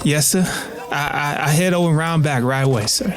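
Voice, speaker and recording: An actor playing an anxious, deferential young enslaved son, stammering meekly with a Southern US accent in an audio drama. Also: male voice, a little high, fairly quick, close to an everyday voice. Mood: cowed. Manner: meek, stammering, pleading.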